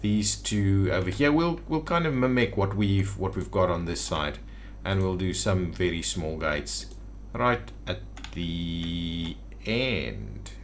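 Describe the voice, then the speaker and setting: Low-voiced man muttering through gameplay. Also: lively intonation, slow pace, slight background noise. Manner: thinking aloud, muttering to himself. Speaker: gaming video host. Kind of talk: casual gameplay commentary. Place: indoors at a computer.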